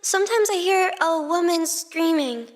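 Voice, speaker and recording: A woman voicing a meek, nervous seven-year-old boy who speaks haltingly in a story reading. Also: very high voice, animated. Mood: troubled, hesitant. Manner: halting, timid, stammering. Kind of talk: dramatized fan fiction reading.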